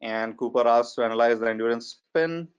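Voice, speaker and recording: A man in his forties with a strong Indian accent, giving an online lecture in a measured, hesitant way with fillers. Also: medium pitch, clear, resonant tone. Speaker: data science trainer. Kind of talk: webinar lecture. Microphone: computer mic on a video call. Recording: slightly muffled online webinar audio.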